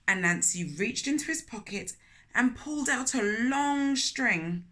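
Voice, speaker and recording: A woman's voice: fairly high pitch, expressive, measured pace, a bit bright. A woman hosting a children's read-aloud, telling the story expressively with chatty asides and questions to listeners.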